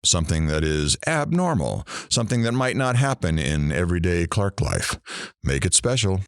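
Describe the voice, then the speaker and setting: Man acting a sardonic radio DJ with swooping pitch. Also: sing-song, normal speed, smooth, no echo. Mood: wry, brisk. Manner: dry, sarcastic, theatrical delivery. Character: sardonic, deadpan male radio morning DJ. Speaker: radio talk show host. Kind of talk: radio show patter in audio drama.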